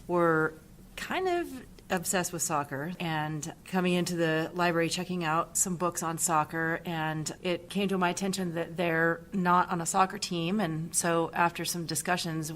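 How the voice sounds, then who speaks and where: Low-voiced woman in an interview. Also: a little hiss or hum. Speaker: school staff member and soccer team organizer. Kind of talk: informal interview account.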